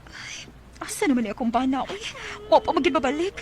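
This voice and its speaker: A woman's voice: high-pitched, expressive, a little fast, over music. A woman playing a poor, overburdened mother fed up with her irresponsible husband, acting in a Filipino radio drama with a Cebuano accent. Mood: worried, tender.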